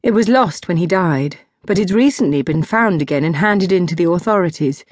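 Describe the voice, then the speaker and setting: A woman's voice: lowish voice, lively intonation, brisk, darkish tone, no echo, clean studio sound. A woman voicing a lonely, dutiful teenage princess in a storybook, explaining things politely and earnestly. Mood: earnest, resolute.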